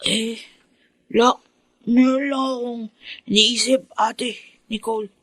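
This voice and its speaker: Poor family's teenage son: raspy-edged, youthful, worried and sincere, radio serial. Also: female voice, on the high side, little pitch movement, slow, a bit bright, no echo. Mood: troubled, hesitant. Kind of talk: radio drama acting.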